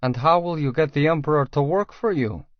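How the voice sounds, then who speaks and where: A man's voice: on the high side, fairly quick, no room sound, voice pushed up, natural voice. A man voicing a grumpy, scoffing male Russian gangster in an audiobook, scornful and dismissive. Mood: dubious. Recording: dry, slightly dull studio sound.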